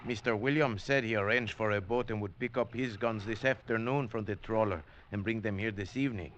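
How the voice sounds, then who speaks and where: Guy doing a silky gun buyer, low-ish and bright, quietly threatening, old dull radio sound. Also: brisk, slight background noise, close to an everyday voice. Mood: cool, menacing. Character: smooth, menacing Central American gun buyer. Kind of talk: 1940s radio acting. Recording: dull lo-fi 1940s radio broadcast.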